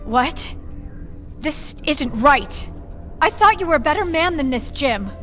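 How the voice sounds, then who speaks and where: A woman's voice: sits high, lively intonation, slow, fairly bright tone, faint music behind, full character voice. A woman playing an idealistic, earnest scientist in a video game cutscene, speaking articulately. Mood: pleading, upset.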